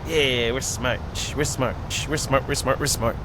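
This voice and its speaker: A man's voice: mid-range voice, fairly even intonation, unhurried, noisy background. A man hosting a gaming video, reading narration aloud with playful, jokey improvised asides.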